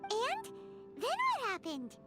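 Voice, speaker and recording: A woman voicing a tiny, excitable, childlike fairy companion in a video game, with a squeaky voice and bubbly, eager delivery. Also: takes it slowly, loud music behind the voice, exaggerated voice. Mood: curious. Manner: bubbly, exclaiming, speaks of herself by name. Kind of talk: English video game voice acting.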